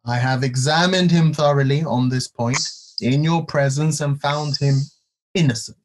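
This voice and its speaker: An actor playing a stern, troubled Roman governor in an audio play, speaking formally and deliberately in a declamatory way. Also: male voice, a little high, background noise, close to an everyday voice. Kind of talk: dramatic audio play acting.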